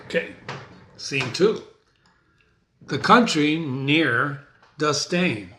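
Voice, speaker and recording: A man's voice: on the high side, expressive, drawn-out words, noisy background. A man hosting a dramatic reading, explaining it conversationally and checking in with rhetorical questions. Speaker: host and reader.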